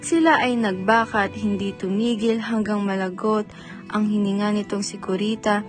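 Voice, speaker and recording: Woman narrator, average pitch, dramatic scripted epic storytelling, light music in back. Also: fairly even intonation, moderate pace. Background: faint music.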